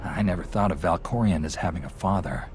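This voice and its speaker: An actor playing a resolute, wary Jedi hero in a video game, speaking in an earnest, firm way. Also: male voice, deep voice, fast talker, a little mellow, a little hiss or hum, lightly acted. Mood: questioning. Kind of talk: video game cutscene acting.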